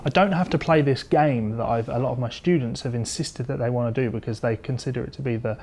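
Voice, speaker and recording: A man's voice: mid-range voice, fast talker. Man teaching guitar, with playing behind. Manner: conversational, step-by-step explaining. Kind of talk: instructional guitar lesson, explanatory talk. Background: electric or acoustic guitar playing.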